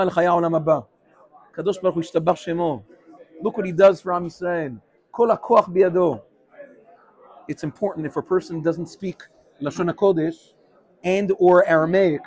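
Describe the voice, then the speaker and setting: A man leading an informal, animated Torah class, switching between English and Hebrew phrases as he teaches and takes questions. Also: high-pitched.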